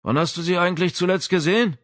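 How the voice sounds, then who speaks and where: A man voicing a gruff, good-natured boatman and father, informal and hearty, in an audiobook. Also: high voice, fast, voice pushed up, natural voice. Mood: curious.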